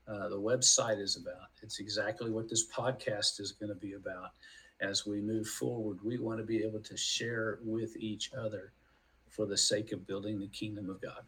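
A middle-aged man co-hosting a faith podcast, talking casually and haltingly as he searches for words. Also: fairly low pitch, fairly even intonation, a bit bright, poor audio. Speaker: podcast co-host.

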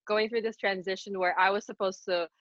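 A woman's voice: medium pitch, steady tone, fairly quick, fairly bright tone, no room sound, dull audio. A woman in her twenties from the Philippines, co-hosting a podcast in casual, earnest chat. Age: 20s. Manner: earnest, upbeat, many fillers. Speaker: podcast co-host.

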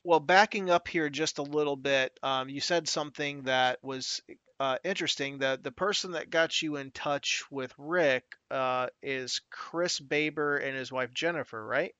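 A man hosting an interview, speaking haltingly with many fillers as he steers through topics. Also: mid-range voice, sharp tone, clean tone, no room sound, dull audio. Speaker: interview host. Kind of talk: casual interview.

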